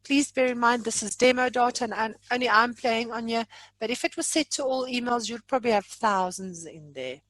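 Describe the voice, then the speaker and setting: A woman giving an informal live online software walkthrough as a trainer, chatty and playful, prone to exaggeration. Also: a little high, no room sound, low-quality recording.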